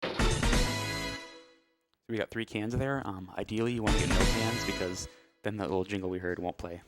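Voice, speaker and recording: A man's voice: fairly low pitch, steady tone, moderate pace. A man giving casual, hesitant and understated live commentary as he plays through a video game. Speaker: speedrunner playing and commentating. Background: loud game music.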